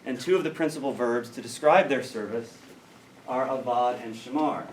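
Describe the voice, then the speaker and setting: A man's voice: mid-range voice, normal speed, recorded from a distance. American male scholar, mid-range voice, dry explanatory lecture delivery; roomy recording with faint noise. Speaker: academic lecturer in biblical studies. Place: lecture room.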